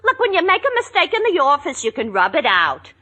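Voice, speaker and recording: A woman's voice: high-pitched, wildly swooping pitch, fairly quick, pushed and bright, heavily acted. A woman playing a bossy, cynical, streetwise girlfriend with slangy, pushy talk in a 1940s radio comedy. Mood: cautioning, wisecracking. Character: brassy, bossy, cynical wisecracking city girlfriend.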